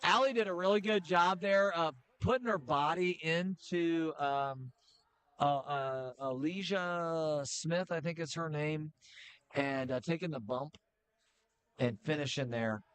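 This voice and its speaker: Middle-aged man, casual sports caller, slightly high voice, relaxed banter with fillers. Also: clean tone, no echo. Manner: chatty, joking, with filler pauses. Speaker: play-by-play commentator. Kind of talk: casual high school basketball commentary.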